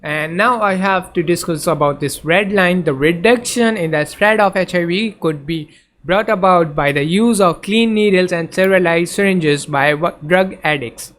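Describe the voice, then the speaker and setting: A man's voice: high voice, normal speed, fairly bright tone. A man whose first language is Urdu, teaching in a casual lecture over slides, hesitant, with many fillers and restarts. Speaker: tutor.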